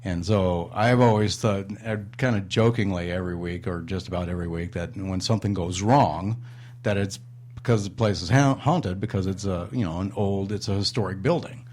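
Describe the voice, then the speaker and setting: Male radio host, lowish voice, rambling and sarcastic, faint hum behind. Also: normal speed, darkish tone. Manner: rambling, sarcastic, many fillers. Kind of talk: casual radio chat. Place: radio studio in a historic house.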